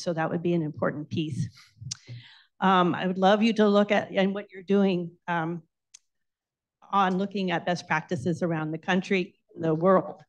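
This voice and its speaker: A woman gives public comment at a meeting. Her voice sits a little low for a woman. She speaks as a member of the public addressing a board. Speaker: public commenter, bicycle coalition president. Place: indoor public meeting room.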